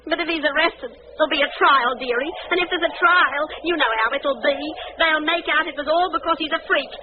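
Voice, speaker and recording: An actress playing a big, blunt but soft-hearted sideshow woman in 1940s radio drama style, with sassy, colloquial speech. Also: sits high, brisk, close to an everyday voice. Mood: pleading. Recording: noisy, tinny old radio recording.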